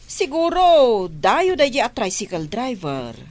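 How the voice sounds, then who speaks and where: A woman's voice: a little high, wildly swooping pitch, slow, darkish tone, full character voice. An actress playing an outspoken, indignant village councilwoman in a radio drama, with an Ilocano Filipino accent.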